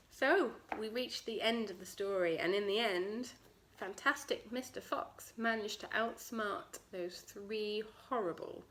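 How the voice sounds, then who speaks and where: A woman's voice: mid-range voice, fairly bright tone. A woman narrating a children's story as a storytime reader.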